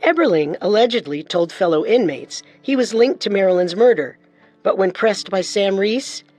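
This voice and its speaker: A woman's voice: medium pitch, animated, normal speed, a little mellow, dry recording. A woman reading scripted true-crime narration. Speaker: narrator, co-host. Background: music.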